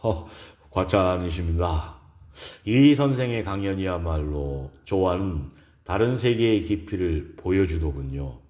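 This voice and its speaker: A man's voice: sits low, animated, measured pace, darkish tone. A man playing a reserved surgeon professor in a romance audio drama, formal, modest and courteous. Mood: modest, impressed.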